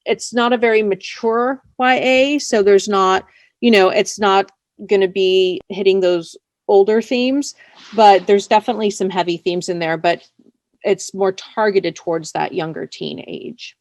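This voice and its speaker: A woman's voice: medium pitch, unhurried, dry recording. A woman speaking casually as an author being interviewed.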